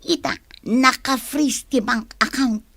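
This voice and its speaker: A woman's voice: high-pitched, takes it slowly, bright, forceful tone, no echo, heavily acted. An actress playing a conniving woman in a radio drama, coaxing and goading her partner in a scheme.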